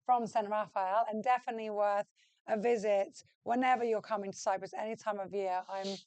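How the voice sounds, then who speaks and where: A woman's voice: medium pitch, fairly even intonation, brisk, darkish tone, clean tone, no echo. A woman hosting a podcast, asking her guest questions in an enthusiastic, upbeat way. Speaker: podcast host, hotelier. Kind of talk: casual podcast interview.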